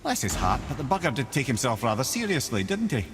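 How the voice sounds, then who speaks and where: A man's voice: mid-range voice, big pitch swings, fast talker, faint music behind. An actor with a Scottish accent playing a witty, learned, talkative advisor in a video game, wry and eloquent in his delivery. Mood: teasing, amused. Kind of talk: video game cinematic acting.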